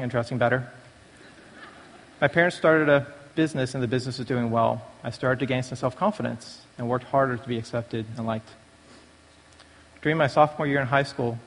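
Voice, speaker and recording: A man gives a prepared personal testimony at a church recovery meeting, speaking in a large, echoing hall. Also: medium pitch. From born in Taiwan, raised in the United States from age five. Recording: long reverberant hall echo.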